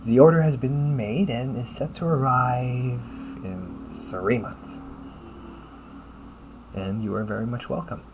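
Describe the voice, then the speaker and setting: A man voicing an upbeat, teasing AI companion in an audio roleplay, polite and fussily helpful, with a gently nagging manner. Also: mid-range voice, takes it slowly, pauses often, noisy background. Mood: helpful, chatty. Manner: polite, chirpy, gently nagging, butler-like phrasing. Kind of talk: scripted audio roleplay addressed to the listener.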